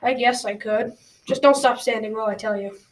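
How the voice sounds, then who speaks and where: Young girl acting folksy old grandfather, measured, flat intonation, school radio drama, slightly distant mic. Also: on the high side, close to an everyday voice. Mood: kindly, folksy. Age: child or teen. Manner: folksy, measured advice-giving.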